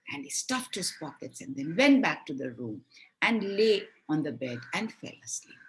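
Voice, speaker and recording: A woman telling a children's fairy tale with expressive, explanatory delivery and deliberate emphasis. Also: lowish voice, rough recording. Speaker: storyteller.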